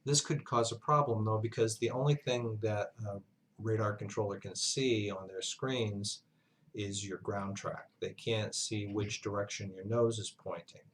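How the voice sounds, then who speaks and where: A man giving an instructional lesson as a flight instructor, speaking in a measured, halting way with pauses mid-sentence. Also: lowish voice, steady tone, slight background noise. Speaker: flight instructor, university lecturer. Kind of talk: instructional narration, explanatory lesson.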